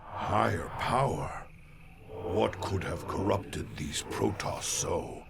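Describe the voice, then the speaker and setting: An actor playing a solemn, mysterious alien warrior who narrates in a measured, grave way. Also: male voice, deep voice, vocal fry, very noisy, heavily acted. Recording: loud game sound effects behind.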